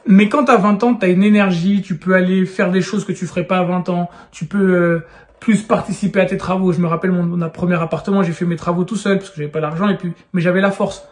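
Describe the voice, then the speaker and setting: A man in his thirties with a fairly high voice talks straight to the viewer. He sounds urgent and persuasive, and keeps pressing his point. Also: fairly even intonation, fast talker. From France. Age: 30s. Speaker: real estate YouTuber. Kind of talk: direct-to-camera advice vlog.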